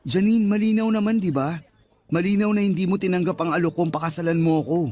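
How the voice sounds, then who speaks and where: Casting: actor as hurt young boyfriend, high male voice, insistent and frustrated, radio romance with faint music. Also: quick pace, darkish tone, lightly acted. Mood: hurt, curt. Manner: frustrated, questioning. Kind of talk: Tagalog radio romance drama acting.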